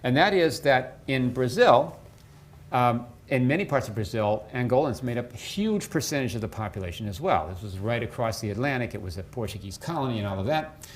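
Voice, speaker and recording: A man's voice: mid-range voice, animated, a little fast. A man giving an unscripted academic lecture, explaining his points step by step. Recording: faint background room noise.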